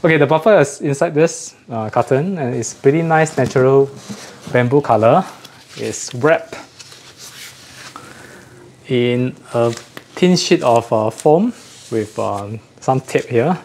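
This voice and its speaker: A man with a mid-pitched voice talks casually as a video host showing off a product. He repeats and rephrases as he goes. The recording has clear background noise. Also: takes it slowly, pauses often, darkish tone. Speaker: host of an audio gear channel. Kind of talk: casual unboxing chat.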